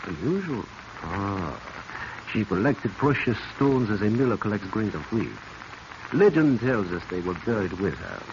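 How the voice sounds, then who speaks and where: Courtly low-voiced foreign official, noisy old radio. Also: male voice, very animated, normal speed. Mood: gallant. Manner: formal, flowery, courteous phrasing. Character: courtly foreign museum official, gallant Egyptology observer. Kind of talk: 1940s radio acting. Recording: muffled, very noisy vintage radio recording.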